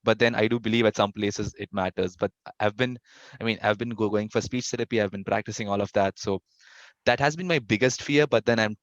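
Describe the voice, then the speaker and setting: A man's voice: fairly low pitch, fairly quick, dry recording. A young man answering interview questions casually, with a stutter and frequent restarts. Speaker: interviewee, fest founder. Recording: dull lo-fi dry audio.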